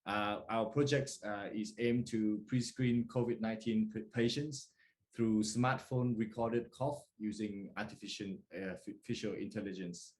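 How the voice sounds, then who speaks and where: A man from Australia giving an informal project introduction as a presenter, speaking in a hesitant, halting way. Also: lowish voice, darkish tone, slight background noise. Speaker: team presenter.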